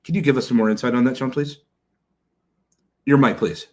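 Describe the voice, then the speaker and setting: A man moderating a panel discussion, emphatic and rhetorical as he frames questions and hands them to speakers. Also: mid-range voice. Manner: emphatic, rhetorical, quick to hand off.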